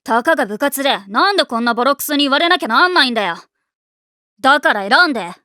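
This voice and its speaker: A woman voice-acting a brash, hot-tempered high school tomboy in an anime, with rough, slangy, boyish speech and a blunt, defensive manner. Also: lively intonation, fast, pushed and bright, no room sound, clean studio sound. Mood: hurt, angry.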